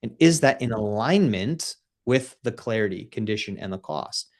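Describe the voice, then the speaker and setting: A man's voice: on the low side, lively intonation, moderate pace. A man in his thirties guesting on a radio show as a coach, speaking with an emphatic coaching cadence and a casual, easygoing manner. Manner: laughs easily, casual. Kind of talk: radio interview.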